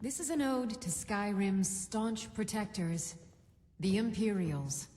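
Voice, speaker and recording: An actress playing a tavern bard in a fantasy game, singing stirring patriotic folk ballads. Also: female voice, medium pitch, lively intonation, slow pace, warm tone, a little hiss or hum. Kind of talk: slow sung folk ballad. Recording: hall reverb, music over voice.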